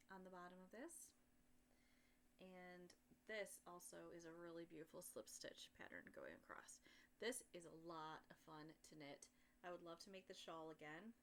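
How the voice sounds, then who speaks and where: Soft-spoken woman straining to talk louder. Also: on the low side, a little hiss or hum. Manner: soft-spoken, talking louder than usual, rambling with fillers. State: tired with a headache. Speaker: podcast host and yarn maker. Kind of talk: casual solo chat. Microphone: built-in computer microphone, sitting close.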